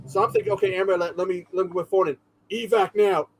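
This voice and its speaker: A man's voice: high-pitched, fast, slight background noise. A man from the US West Coast chatting casually on a watch party stream, telling a story and acting out his reactions. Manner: animated storyteller, acts out reactions. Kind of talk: casual chat.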